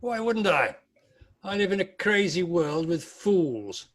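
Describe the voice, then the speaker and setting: Miserly old Victorian uncle played by a man, scornful and curt, fairly high male voice, very unhurried delivery. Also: sing-song, exaggerated voice. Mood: scornful, grumbling. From United Kingdom. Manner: curt, dismissive, sarcastic. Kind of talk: amateur table read of a Victorian Christmas story.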